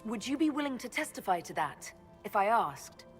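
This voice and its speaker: A woman's voice: fairly high pitch, big pitch swings, normal speed, soft background music. A woman playing a composed, commanding heroine and leader in a fantasy video game, speaking with authority. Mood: concerned, firm.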